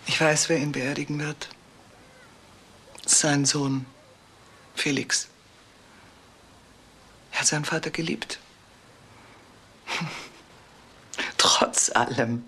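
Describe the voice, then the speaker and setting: A woman's voice: deep voice, steady tone, extremely slow, pauses often, sharp tone, slight background noise, full character voice. A woman playing a wounded, restrained middle-aged ex-wife and mother in a naturalistic radio crime drama. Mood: cool, hurt.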